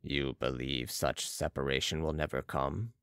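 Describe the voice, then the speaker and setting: A man voicing a serene, commanding mesmerist with calm mystical authority in an audiobook. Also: a bass voice, moderate pace, clean tone, no room sound, studio quality, pitched below the performer's own voice. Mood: calm resolve. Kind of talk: audiobook character voice.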